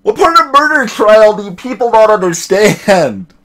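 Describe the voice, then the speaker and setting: A woman voicing an earnest male defense lawyer in a video game, inquisitive and deliberate. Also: mid-range voice, big pitch swings, a bit bright. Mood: exasperated. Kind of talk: voiced visual novel game dialogue.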